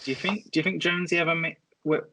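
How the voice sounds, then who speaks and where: A man's voice: fairly high pitch, level tone, rapid-fire, pushed and bright, slight background noise. A man chatting casually as a guest on a podcast. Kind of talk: casual chat.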